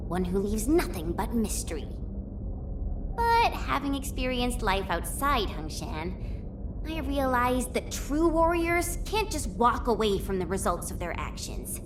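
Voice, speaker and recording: Bubbly high-voiced young swordswoman. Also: measured pace, background noise. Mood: reflective. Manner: bubbly, expressive, chatty. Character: cheerful, eager young swordswoman, warm and playful. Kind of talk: video game character voice lines. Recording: music under the voice.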